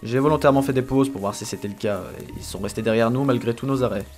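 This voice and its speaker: A man playing an aloof, calculating young potion student in an amateur fantasy audio drama, with a terse, matter-of-fact, deadpan delivery. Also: mid-range voice, fast talker, soft background music, close to an everyday voice. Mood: wary, calculating.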